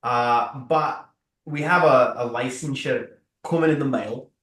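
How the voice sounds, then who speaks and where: Tabletop game role male player: jittery mercenary, slow, stammering, over-explaining himself, roomy sound. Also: mid-range voice, fairly bright tone, close to an everyday voice. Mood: nervous, halting. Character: nervous, rambling mercenary explaining himself to authorities. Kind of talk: improvised tabletop roleplay. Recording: echoey room, far from mic.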